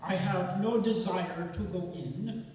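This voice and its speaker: A man's voice: high voice, darkish tone. Man playing a sinister professor in an echoey hall. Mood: smug, taunting. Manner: deliberate, commanding, mocking. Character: sinister male professor, a menacing, commanding hypnotist villain. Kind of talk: old-time radio drama stage acting. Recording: distant, echoey hall, hissy live recording.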